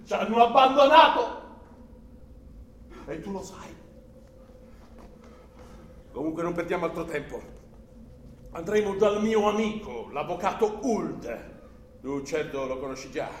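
An actor playing an older, overbearing, anxious country uncle in a theatrical, emphatic and volatile radio drama style. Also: male voice, high-pitched, sing-song, measured pace, pauses often. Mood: aggrieved, insistent. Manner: emphatic, volatile, swinging from hushed to exclaiming. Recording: roomy echo, faint background noise.

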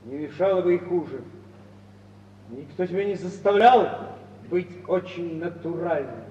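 An actor playing a cold, scheming, bullying husband with a theatrical, sneering manner in a 1950s Russian radio play. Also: male voice, sits high, lively intonation, unhurried, warm tone, highs cut off. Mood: sneering, suspicious. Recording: old noisy radio recording, distant, reverberant hall, hum.

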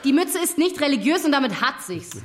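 A woman's voice: high voice, big pitch swings, brisk. A woman playing an exasperated schoolteacher in a drama, admonishing and insistent as she tries to keep order. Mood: firm, corrective. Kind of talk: classroom drama dialogue. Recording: noisy classroom chatter behind.

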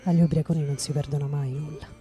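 A woman acting a bitter, hard-drinking, starving writer in a theatrical radio monologue, brooding and halting. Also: deep voice, steady tone, dark tone, soft background music, natural voice. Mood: bitter, reflective.

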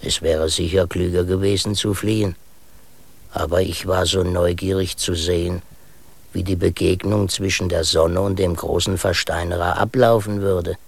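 An actor playing an old male Sami herdsman who tells a tall tale in a German radio play, speaking slowly with a gravelly voice. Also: sits low, heavily acted. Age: older. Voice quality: slow gravelly delivery. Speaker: storyteller within the play.

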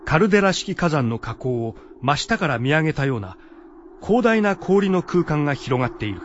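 A man's voice: a little high, big pitch swings, moderate pace, lo-fi sound, a little hiss or hum. A man narrating a scripted radio drama with solemn, dramatic storytelling.

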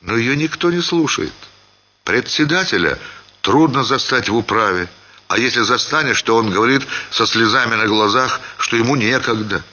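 A man reading a literary short story as expressive scripted narration, with dramatic, theatrical phrasing. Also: mid-range voice, normal speed, dull audio.

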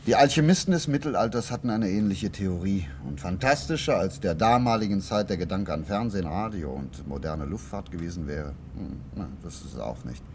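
A man's voice: deep voice, animated, fairly quick, few pauses, background noise. A man acting in a German radio play as a courteous, inquisitive investigator who muses and probes. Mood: musing, speculative. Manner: musing, hesitant, probing. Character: inquisitive male expert investigator, courteous, thoughtful, undaunted.

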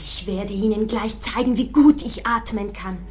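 A woman's voice: mid-range voice, moderate pace, slight background noise, natural voice. An actress playing a defiant, commanding woman who passes herself off as a male pirate sailor in a radio play. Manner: commanding, insistent. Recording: music behind.

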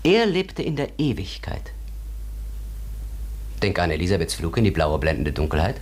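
An actor playing a philosophical, thoughtful companion in a German radio drama, explaining things in a deliberate, contemplative way. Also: male voice, deep voice, huge pitch swings, a little mellow, husky, exaggerated voice. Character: philosophical man pondering another world, thoughtful companion.

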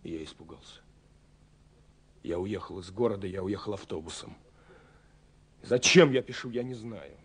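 An actor playing a lonely, yearning young romantic in a radio drama, reading a letter aloud with a husky voice and brooding, halting delivery. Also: male voice, sits low, animated. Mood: restless yearning. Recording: 1979 radio recording.

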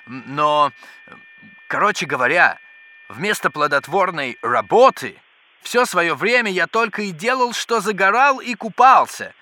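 An actor playing an excitable, pedantic scientist in a children's educational audio drama, with theatrical, exclamatory delivery. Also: male voice, on the high side, heavily acted. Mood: flustered, indignant. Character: excitable, bookish male scientist, absorbed in microbes. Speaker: scientist character.

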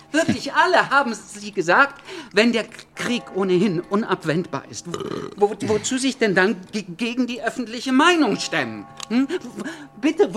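An actor playing an excitable, argumentative young revolutionary who stammers, in a radio drama. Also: male voice, high-pitched. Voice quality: stammering, repeating syllables. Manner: agitated, defensive, pleading.

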